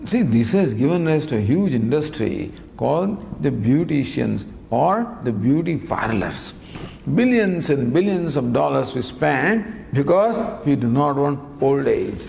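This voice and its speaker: A man giving a spiritual discourse on scripture, teaching in a lively, gently humorous way with many rhetorical questions, recorded in a lecture hall. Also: medium pitch, unhurried, creaky. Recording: long hall reverb, faint background noise.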